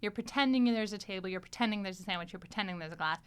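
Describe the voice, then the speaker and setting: A woman with a clear voice, chatting casually as a podcast host in a playful, prompting way. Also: medium pitch, very fast. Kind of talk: casual chat.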